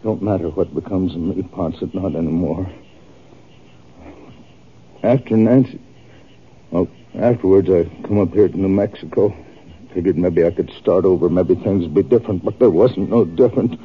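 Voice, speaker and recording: Actor as wounded, bitter farmer, low muffled voice. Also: male voice, slight background noise, natural voice. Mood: anguished, hopeless. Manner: rustic, halting, weary speech. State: injured, strained. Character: wounded, bitter, grieving male homestead farmer from Texas. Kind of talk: 1950s radio western acting. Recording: narrow, muffled old-time radio recording.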